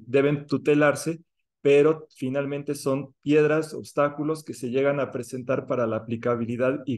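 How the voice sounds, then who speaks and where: A man presenting formally on a panel, measured with occasional fillers. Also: medium pitch, a little mellow.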